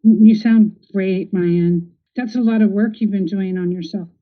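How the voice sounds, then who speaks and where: A woman's voice: mid-range voice, steady tone, a little slow. A woman hosting a podcast, interviewing casually with supportive affirmations. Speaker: podcast host. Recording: tinny phone-like audio.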